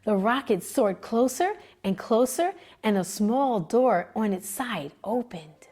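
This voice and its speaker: A woman reads a children's picture book aloud at an unhurried pace, gentle and expressive. Her voice is soft and breathy, and her pitch rises and falls in a lively way. Faint music plays behind her. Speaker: children's librarian reading aloud.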